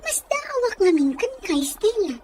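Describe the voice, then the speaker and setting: Frank, nosy Filipina best friend played by a woman, high and swooping voice, Ilocano accent, village audio drama, noisy recording. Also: very animated, measured pace, darkish tone, exaggerated voice. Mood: practical. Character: frank, nosy, lively young village girlfriend and confidante.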